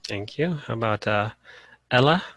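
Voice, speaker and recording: A man from Colorado teaches over a video call in an easygoing way, often pausing on "uh." His voice is bright and forward. The sound is close and dry, with almost no room in it. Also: mid-range voice, dry recording, rough recording. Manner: relaxed, frequent "uh" fillers. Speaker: course instructor. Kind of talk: casual online class lecture.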